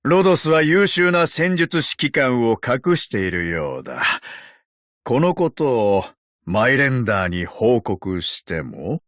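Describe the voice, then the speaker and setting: Unhurried, courteous old tin agent. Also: male voice, medium pitch, sing-song, no echo, studio quality. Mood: composed, admiring. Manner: polite, measured, quietly teasing. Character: courteous ancient tin man secret agent, old, calm, sardonic. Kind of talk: Japanese video game character voice lines.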